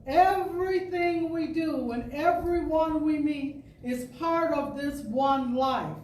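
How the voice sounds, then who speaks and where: Slow, high-voiced woman reading in a roomy space. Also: a little mellow. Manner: deliberate, measured phrasing with emphatic pauses. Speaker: storyteller and speaker at a spiritual center. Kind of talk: expressive reading of an inspirational talk. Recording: distant roomy pickup with background noise.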